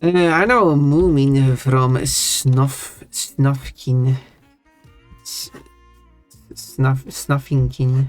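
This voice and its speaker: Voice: mid-range voice, steady tone, drawn-out words, long pauses. A live streamer chatting casually while drawing, rambling and correcting themself with small giggles. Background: faint background music.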